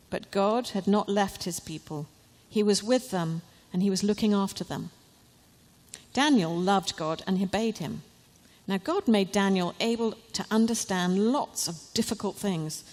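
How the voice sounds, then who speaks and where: Female storybook narrator: slow, expressive, deliberate phrasing, live in a big echoing hall. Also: medium pitch. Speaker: author reading her children's book. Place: large church, live event. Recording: live reading in a large reverberant hall.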